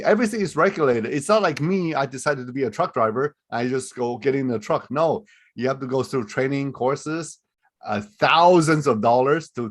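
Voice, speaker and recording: A man presenting a live online webinar as a recruiter, explaining things in a loose, conversational way over a video call. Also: mid-range voice.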